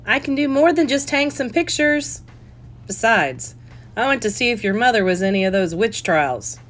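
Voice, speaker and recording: A woman reading a story, voicing a jovial, boastful older father who calls out loudly. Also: fairly high pitch, lively intonation, normal speed. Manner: loud, boasting.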